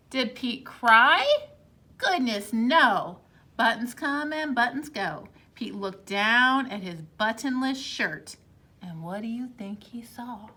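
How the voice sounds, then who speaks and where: A woman teacher reading a picture book aloud to young children in an animated, exclamatory storytime voice. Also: high-pitched.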